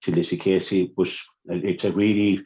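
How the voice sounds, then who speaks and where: Halting man, low dark voice. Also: flat delivery. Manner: halting, many repeated words and restarts. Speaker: sports analyst. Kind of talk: radio sports preview chat. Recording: dull lo-fi radio sound.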